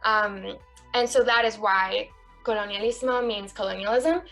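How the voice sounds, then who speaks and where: A woman's voice: medium pitch, moderate pace, bright, forceful tone, faint music behind, low-quality recording. A young woman from Puerto Rico co-hosting a podcast in casual chat, friendly, with many ums. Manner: friendly, frequent ums, light laughter. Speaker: podcast co-host.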